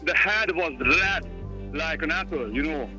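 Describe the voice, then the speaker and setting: A man's voice: a little high, measured pace, highs cut off, music in the background. A man with a Hungarian accent calling the police to report an attack, speaking in broken English and saying "you know" often. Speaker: caller. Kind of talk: emergency phone call.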